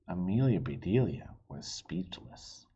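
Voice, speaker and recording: Animated man reading aloud, slightly husky. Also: sits low, highs cut off. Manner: animated, pausing to explain and ask listeners questions. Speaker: children's read-aloud host. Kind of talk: expressive children's storybook reading with asides.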